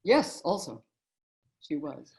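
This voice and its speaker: Low-voiced woman, reminiscing guest. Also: sing-song, takes it slowly, vocal fry. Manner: warm, storytelling reminiscence with frequent "you know". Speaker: guest, author and narrator. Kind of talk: relaxed interview conversation.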